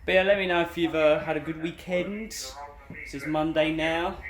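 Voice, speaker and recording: A young man with a London accent chatting casually as a bus driver, his voice hoarse and croaky, rambling with lots of fillers. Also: a little high, fairly even intonation, normal speed, roomy sound. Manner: rambling, filler-heavy, loses his train of thought. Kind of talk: casual vlog chat. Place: inside a bus cab.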